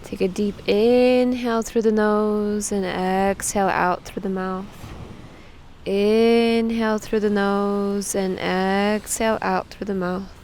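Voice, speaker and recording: A woman leading a guided meditation, giving soothing instructions with frequent pauses. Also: mid-range voice, flat delivery, a little mellow, a little hiss or hum. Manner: slow, pausing, soothing instruction.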